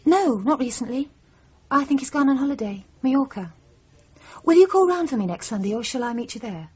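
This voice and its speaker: Subdued bereaved woman, rather high, very husky, tentative, radio drama, dull recording. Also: expressive, brisk. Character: subdued, hesitant bereaved woman confiding in a policeman.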